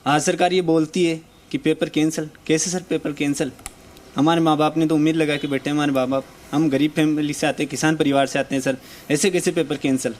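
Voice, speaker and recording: A man's voice: a little high, fairly even intonation, brisk, a little mellow, a little hiss or hum. A man in his twenties, an army aspirant, speaking passionately and pleading in a street interview. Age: 20s. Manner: impassioned, pleading.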